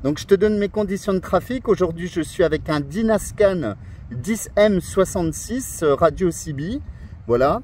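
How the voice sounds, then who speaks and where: A man's voice: high-pitched, lively intonation, normal speed, a little mellow, slight background noise. A man operating a portable CB radio outdoors, chatting in a casual, friendly way with radio jargon. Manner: friendly, spells words in radio alphabet. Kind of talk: casual radio contact chat. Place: outdoors among pine trees on an island.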